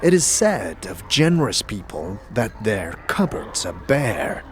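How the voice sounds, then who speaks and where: A man playing a solemn githzerai sage in a fantasy audio drama, teaching in formal, deliberate, archaic phrases. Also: on the low side, very animated. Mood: patient, instructive. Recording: music behind the voice.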